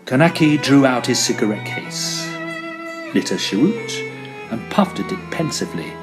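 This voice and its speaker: An actor playing an earnest, excitable Edwardian gentleman ghost hunter who tells his tale in formal, theatrical old-fashioned diction. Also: mid-range voice, moderate pace, natural voice. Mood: storytelling. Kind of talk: dramatised first-person period storytelling. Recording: violin music behind the voice.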